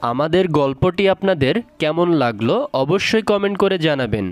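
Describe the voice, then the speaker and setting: A man's voice: fairly high pitch, lively intonation, fairly quick, no room sound. A man delivering a scripted outro as announcer for a cartoon channel.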